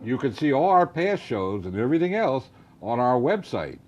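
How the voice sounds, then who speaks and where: A man's voice: medium pitch, sing-song, moderate pace, darkish tone, muffled recording. A man hosting a casual panel show, teasing and joking with his co-hosts. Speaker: show host.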